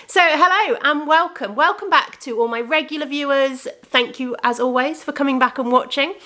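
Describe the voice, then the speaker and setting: Woman podcast host, slightly congested, rambling and friendly, fairly high-pitched. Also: a bit bright. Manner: chatty and rambling, with frequent self-corrections. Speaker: podcast host and indie yarn dyer. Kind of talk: casual chat to camera. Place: room with bookcases of yarn behind her.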